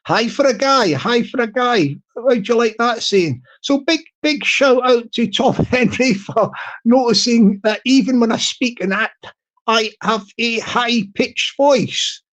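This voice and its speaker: A man with a high-pitched tenor voice, talking casually on his livestream and repeating his phrases as he rambles. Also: a little slow, a bit bright. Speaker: YouTuber livestream host.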